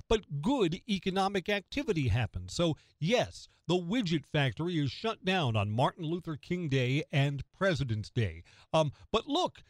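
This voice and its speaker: A man's voice: on the high side, no room sound. An American man hosting morning talk radio, delivering a conversational opinion monologue and putting rhetorical questions straight to the listener. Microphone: close studio radio microphone.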